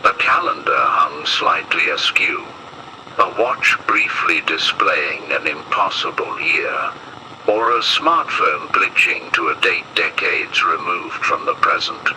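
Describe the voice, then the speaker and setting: A man playing a grave emergency broadcast announcer in an analog horror piece, speaking in a solemn, ominous, deliberate way. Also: low-pitched, animated, noisy background.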